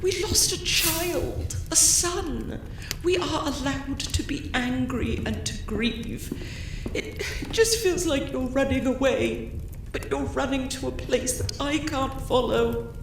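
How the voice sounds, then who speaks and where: A grieving wife in an audio drama, wounded yet steadfast. She pleads slowly in a high, emotional voice. The sound is roomy and a little distant, with noisy ambience around her. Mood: grieving, hurt.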